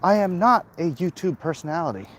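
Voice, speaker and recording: A middle-aged man talking casually and haltingly to his viewers in a monologue recorded while out walking. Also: high voice, animated, fairly bright tone, slight background noise. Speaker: comic book creator vlogging. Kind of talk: casual walk-and-talk monologue. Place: outdoors, walking along a hilly route.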